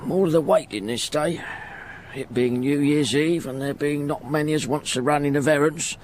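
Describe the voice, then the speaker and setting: A man's voice: on the high side, normal speed, darkish tone, a little hiss or hum, natural voice. An actor playing a poor, humble middle-aged porter and devoted father in a radio drama, rambling in folksy working-class speech. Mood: chatty, stoic. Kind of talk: radio drama acting, first-person monologue.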